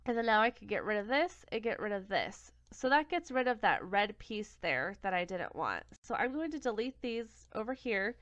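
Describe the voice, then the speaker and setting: A young woman with a cold-congested voice talks through a craft software tutorial step by step, sometimes catching and correcting her own slips. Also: medium pitch, big pitch swings, moderate pace, hardly any pauses, no echo. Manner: step-by-step, self-correcting, plain and friendly. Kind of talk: screen-recorded software tutorial. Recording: dull, lo-fi home recording.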